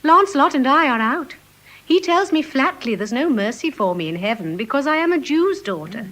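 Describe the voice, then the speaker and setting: An actress playing a gentle, quick-witted young wife with poised, earnest diction in classical Shakespearean style. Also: female voice, sits high, big pitch swings, heavily acted, rough recording. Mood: wry teasing. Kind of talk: classical Shakespearean stage acting.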